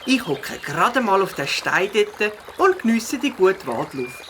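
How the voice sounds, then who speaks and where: A woman's voice: mid-range voice, very animated, a little slow, a bit bright, a little hiss or hum. A woman playing a plucky little beaver who loves mountain biking in a children's audio play, talking expressively with a Swiss German accent. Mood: resigned.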